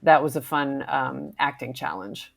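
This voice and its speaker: A middle-aged woman voice actor reflecting on her work in an interview, in a deliberate way. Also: a bass voice, gravelly. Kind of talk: interview recollection.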